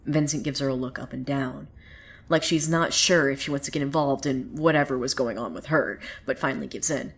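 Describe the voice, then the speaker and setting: A woman's voice: extremely low pitch, a little fast. A woman playing a sardonic, opinionated storyteller in a fiction podcast, narrating conversationally with gossipy asides. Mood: wry, suspenseful. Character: opinionated, sardonic female storyteller who gossips about townsfolk. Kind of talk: dramatic first-person storytelling.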